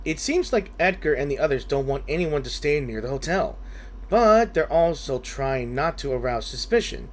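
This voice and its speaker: Animated, higher-pitched man commentating on a game. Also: normal speed. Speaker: gaming streamer. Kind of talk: casual playthrough commentary.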